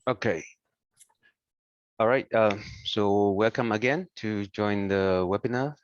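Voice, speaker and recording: A man who speaks English as a second language, hosting an informal online tutorial in a careful, halting way. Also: on the low side. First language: not English. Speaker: webinar host and software trainer. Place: indoor room, online webinar. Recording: muffled, roomy online call audio.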